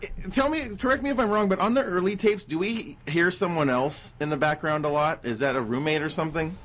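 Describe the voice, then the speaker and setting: A male radio host with a slightly raised voice, gushing with excitement and swearing freely. His words tumble out in restarts and repeats. A faint noise hangs in the background. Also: fairly high pitch, brisk. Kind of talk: casual radio chat.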